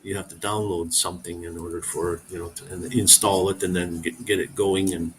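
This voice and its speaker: A man's voice: sits low, flat delivery, a little fast, slight background noise, low-quality recording. A middle-aged man hosting a casual live stream, rambling as he reads viewer comments aloud and answers them.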